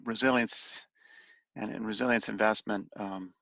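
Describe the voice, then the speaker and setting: Low, creaky man presenting on a call. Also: steady tone, pushed and bright. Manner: halting, hesitant delivery with trailing phrases. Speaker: industry association policy advocate briefing on legislation. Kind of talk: informal slide presentation on a conference call. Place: conference call, roomy indoor space. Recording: muffled, roomy conference-call audio.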